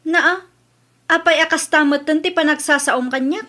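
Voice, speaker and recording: A woman's voice: high voice, moderate pace, bright, forceful tone. A woman with an Ilocano accent playing a brash, defensive, argumentative mother in a comedy audio drama. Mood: combative. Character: brash, defensive mother, stubborn debtor, quick to argue.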